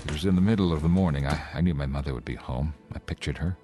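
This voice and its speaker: An actor playing a frightened, unraveling male traveler in a radio play, with a dramatic, halting delivery. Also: a bass voice. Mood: weary, anxious. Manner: dramatic, halting and stammering when distressed. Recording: music bed behind voice.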